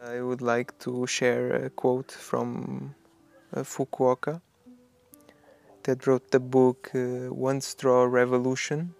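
Man with a very flat, creaky voice. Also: mid-range voice, faint music behind. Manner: slow, halting, with many fillers. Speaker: permaculture traveler. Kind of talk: reflective personal narration.

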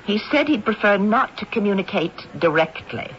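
A woman's voice: medium pitch, big pitch swings, normal speed, lightly acted. An actress playing an earnest, polite young woman who speaks for her ghostly great-grandfather, in a radio drama. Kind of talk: 1970s radio drama acting. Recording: dull old radio recording with faint hiss.

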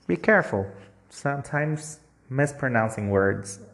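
A man's voice: mid-range voice, animated, unhurried, creaky, fairly bright tone. A man giving a recorded English lesson to learners, talking casually and reassuringly. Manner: casual and reassuring, with frequent "like" and "you know". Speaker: English teacher. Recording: roomy echo with faint guitar music.

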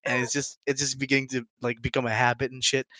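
A man's voice: mid-range voice, flat delivery, fast, pushed and bright, dry recording. A man in his twenties co-hosting a casual podcast, rambling with restarts, laughing often and swearing freely, English not his first language. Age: 20s. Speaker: podcast co-host.

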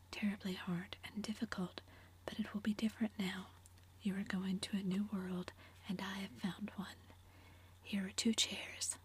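A woman's voice: mid-range voice, slight background noise, close to an everyday voice. A woman whispering the part of a young woman in love, eager and fond, in a storybook reading.

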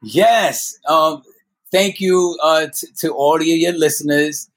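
A man speaking casually as a podcast guest, earnest and halting, often repeating words as he goes. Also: on the high side. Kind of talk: casual conversational interview. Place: friend's apartment.

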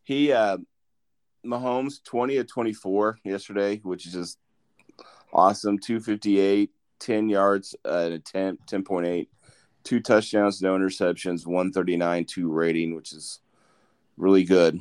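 A man's voice: sits low, steady tone, measured pace, a little mellow, clean tone. A man hosting a casual sports podcast, reading off game stats with frequent fillers and restarts. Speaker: podcast host.

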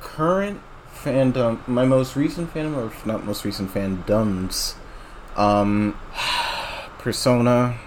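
A man of twenty-four, the producer on a casual podcast, talking in a rambling way with halting restarts. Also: mid-range voice, slight background noise. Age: 20s. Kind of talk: casual chat.

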